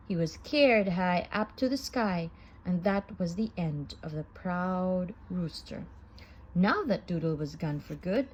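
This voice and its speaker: A woman reading a children's story aloud in an expressive, sing-song manner. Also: mid-range voice, slight background noise, rough recording. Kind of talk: children's read-aloud narration.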